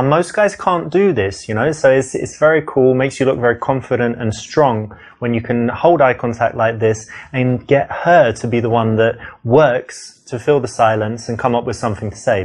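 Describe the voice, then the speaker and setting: A man coaching the player, explaining each choice in a confident, deliberate manner. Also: medium pitch. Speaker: dating coach narrator in a game. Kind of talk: instructional commentary.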